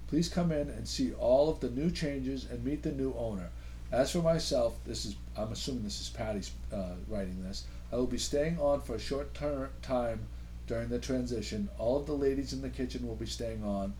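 A man's voice: medium pitch, moderate pace, dark tone, a little hiss or hum, poor audio. A man hosting a local radio chat show, easygoing and joking, with lots of fillers and trailing-off sentences. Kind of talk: casual chat.

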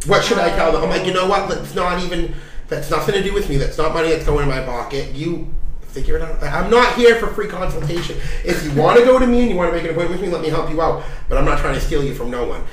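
A young man talks fast with a dramatic, sassy flair, his voice a bit high for a man. He sits a little away from the mic in an echoey room with faint ambience behind him. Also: a bit bright, distant mic, slight background noise. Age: young adult. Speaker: podcast co-host. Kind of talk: casual chat.

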